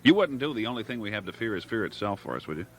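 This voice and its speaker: Lively low-voiced radio host. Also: male voice, rapid-fire, slight background noise. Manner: rambling, searching for words with restarts. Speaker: radio talk show host. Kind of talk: live call-in radio show.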